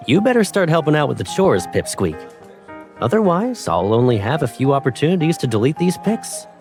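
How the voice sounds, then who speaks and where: A man's voice: a little high, very animated, fairly quick, a little mellow, faint music behind. An actor playing a playful, caring young man who teases and cajoles, in a fan dub of a romance game. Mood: teasing. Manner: teasing, lightly cajoling.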